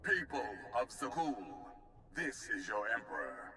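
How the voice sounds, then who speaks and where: An actor playing an imperious emperor in a video game, making a solemn, commanding proclamation through an echoing broadcast. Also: male voice, mid-range voice, animated, a little slow, vocal fry, sharp tone, heavily acted. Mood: stern. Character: imperious vengeful young male emperor addressing his people. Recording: echoey, distant, noisy broadcast sound.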